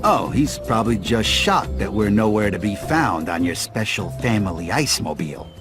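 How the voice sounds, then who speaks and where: Man playing a low, sardonic sabre-toothed tiger. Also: expressive, moderate pace, over music, close to an everyday voice. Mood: dry. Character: dry, exasperated male sabre-toothed tiger uncle. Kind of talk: animated game voice acting.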